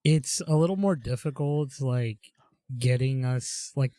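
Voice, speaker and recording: Young male co-host, mid-pitch, rambling upbeat storyteller, dry close mic. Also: a little slow, warm tone, no room sound. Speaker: podcast co-host. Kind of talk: casual chat.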